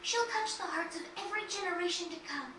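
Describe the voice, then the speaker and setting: High-voiced gentle teenage girl. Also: quick pace. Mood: tender, accepting. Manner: soft-hearted, sincere, earnest. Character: gentle, accepting fourteen-year-old girl, sickly yet consoling. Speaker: voice actor in a fantasy game. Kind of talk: dramatic game cutscene dialogue. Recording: distant, echoey, noisy game capture.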